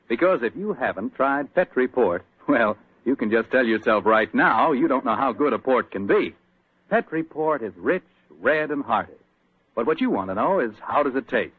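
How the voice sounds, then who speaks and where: A man with an American accent, a radio announcer pitching and narrating in a folksy, conversational 1940s style. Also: breathy edge, no echo. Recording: dry, muffled 1940s radio recording.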